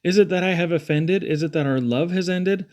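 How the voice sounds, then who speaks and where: A man's voice: sits high, fairly quick, darkish tone, pitched above the performer's own voice, lightly acted. A man voicing a lovesick turtle from a children's poem, wooing in a tender, imploring, flowery way. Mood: eager, hurt. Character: lovesick, pleading male turtle suitor.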